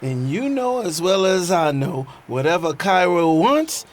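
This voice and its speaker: A man playing a tipsy, stubborn young younger brother in an audio drama, speaking in a loose, repetitive, halting way. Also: sits high, big pitch swings. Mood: resentful. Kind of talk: dramatized audio fiction dialogue. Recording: noticeable background noise.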